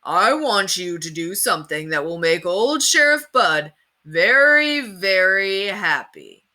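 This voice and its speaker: A woman voicing a folksy old male TV sheriff who hosts for children in a storybook, with a drawn-out showman's delivery. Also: mid-range voice, sing-song, pushed and bright, heavily acted. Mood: showy, coaxing. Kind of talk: children's storybook read-aloud.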